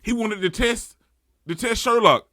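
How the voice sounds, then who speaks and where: A man giving a casual, excitable reaction and review as a channel host, often repeating himself for emphasis. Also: sits high, a little slow, no echo, studio quality.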